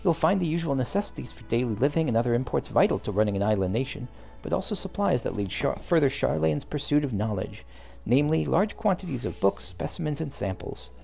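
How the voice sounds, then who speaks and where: A man reading as a calm scholarly guide. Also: medium pitch, gravelly, soft background music. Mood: measured. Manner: measured, formal reading. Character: calm learned young female scholar guide. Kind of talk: reading game dialogue aloud.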